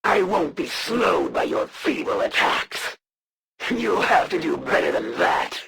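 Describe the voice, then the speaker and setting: Gravelly, haughty alien assassin. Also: male voice, high voice, wildly swooping pitch, a bit bright, slight background noise, full character voice. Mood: defiant. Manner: formal, haughty, measured and deliberate. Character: proud, honor-bound alien assassin, coldly menacing. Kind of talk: scripted video game villain voice lines.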